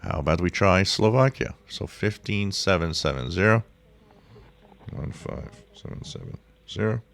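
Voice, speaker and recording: A man with a very low, gravelly voice gives an informal tutorial. He takes his time and thinks aloud as he explains, like a hobbyist showing how he does things. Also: animated, normal speed. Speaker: shortwave radio hobbyist presenting a tutorial. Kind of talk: casual explanatory screen-share tutorial.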